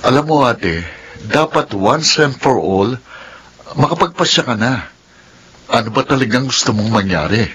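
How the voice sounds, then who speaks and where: A teasing younger brother with a Filipino accent. His lively pitch moves around as he probes and reasons smugly in radio drama style, with music behind him. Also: a little slow, long pauses, husky. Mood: probing. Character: teasing, analytical younger brother, playfully smug.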